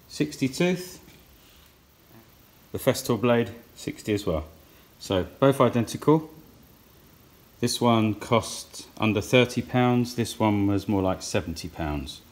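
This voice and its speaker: A man in his thirties, plainly and practically talking through saw blade tests in his woodworking workshop. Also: on the low side. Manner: plain, practical, thinking aloud. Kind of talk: workshop tool review.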